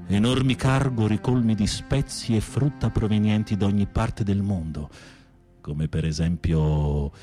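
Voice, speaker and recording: A campy, low-voiced theatrical inmate. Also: male voice. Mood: florid, savoring. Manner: florid, campy, teasing storytelling. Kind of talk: radio play acting.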